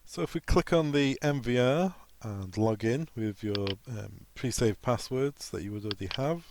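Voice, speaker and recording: A man's voice: medium pitch, expressive, moderate pace, background noise. A man presenting an informal technical training walkthrough, explaining each step plainly as a trainer. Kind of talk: software walkthrough tutorial, informal instruction.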